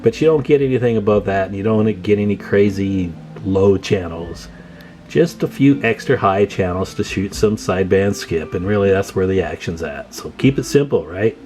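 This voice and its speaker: A man's voice: sits low, animated, moderate pace, darkish tone. A man giving a casual, hands-on demonstration as a hobbyist video host, speaking informally and folksily to his viewers. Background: plucked guitar music.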